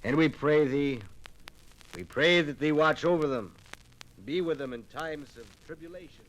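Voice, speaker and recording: An actor playing a kindly, devout circuit-riding pastor in a 1950s radio drama, solemn and measured. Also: male voice, a little high, a bit bright, natural voice, rough recording. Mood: solemn, reverent. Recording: 1950s radio recording, faint noise.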